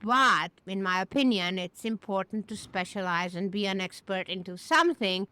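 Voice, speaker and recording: A woman's voice: mid-range voice, expressive, normal speed, bright, forceful tone, clean tone, rough recording. A woman from Romania, answering interview questions confidently as a business founder. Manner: confident, matter-of-fact. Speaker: guest, naming agency founder.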